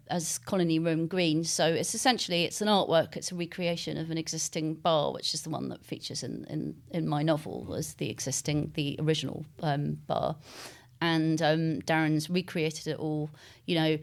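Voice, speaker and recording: An English woman with a slightly low-pitched voice talks as an interviewed author. Her delivery is conversational and discursive, feeling its way through loosely linked phrases with some hesitation. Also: steady tone, moderate pace. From East London, England.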